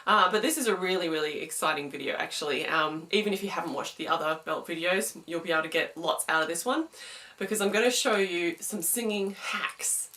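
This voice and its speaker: A young woman with a smooth voice, giving a chatty singing lesson to camera and explaining technique with quick sung examples. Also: on the low side, sharp tone, far from the mic. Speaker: singing teacher.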